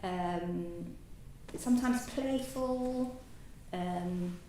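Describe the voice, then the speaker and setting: A woman whose first language is French gives a halting personal talk as a new Buddhist order member, full of fillers and restarts. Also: on the low side, warm tone. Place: meditation centre hall. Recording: echoey hall, distant mic, faint noise.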